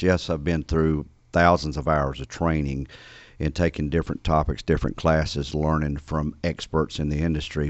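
A man's voice: rumbling low, darkish tone, no echo. A man speaking as a business coach in a conversational interview, earnest and explanatory, with slightly muffled sound.

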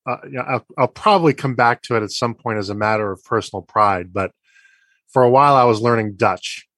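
A male interviewer asking thoughtful, halting questions and sharing his own story in a conversational interview. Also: mid-range voice, fairly bright tone, no room sound. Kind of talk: podcast interview.

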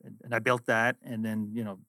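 A man's voice: lowish voice, flat delivery, brisk, creaky, sharp tone, dry recording, studio quality. A middle-aged man from Sweden with a Swedish accent, Swedish being his first language, talking as an interview guest and telling reflective stories about his work. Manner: reflective, storytelling. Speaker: guest, finance executive. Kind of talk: casual interview chat.

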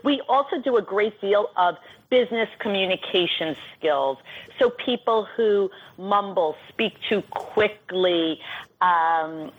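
A woman's voice: medium pitch, lively intonation, slow pace, slightly rough, phone-quality sound. A middle-aged woman with a New York accent, a guest coach explaining at length in an articulate, list-like way. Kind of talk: radio interview.

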